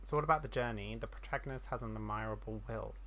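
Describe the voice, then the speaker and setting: Casual gamer voice, medium pitch, reading game text out loud, trips over words, pokes fun at self. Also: quick pace. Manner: stumbles while reading aloud, self-deprecating. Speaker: gaming streamer. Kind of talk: casual game playthrough commentary and reading dialogue aloud.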